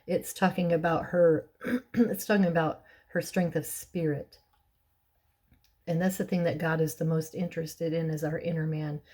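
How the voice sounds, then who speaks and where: A middle-aged woman with a hoarse, raspy voice, clearing her throat often and chatting with soft laughs as she teaches a Bible lesson. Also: sits low, little pitch movement, moderate pace.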